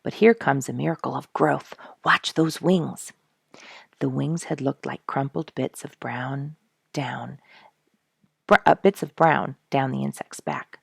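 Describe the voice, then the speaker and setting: A woman narrating a storybook from a script, expressive, with dramatic emphasis. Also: extremely low pitch, measured pace, creaky, breathy edge, dry recording, studio quality. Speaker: storyteller, show host.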